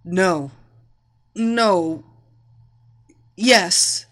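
A woman's voice: mid-range voice, lively intonation, very slow, fairly bright tone, exaggerated voice. A woman voicing a helpless, defeated female wrestler in a story, breathless, sputtering and pleading. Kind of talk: dramatised story reading.

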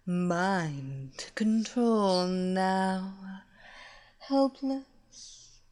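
A woman voicing a seductive, domineering female villain who hypnotizes her captive, speaking in slow, sultry, repetitive phrases with breathy whispers. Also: medium pitch, a little mellow. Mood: hypnotic, commanding. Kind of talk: erotic hypnosis audio story.

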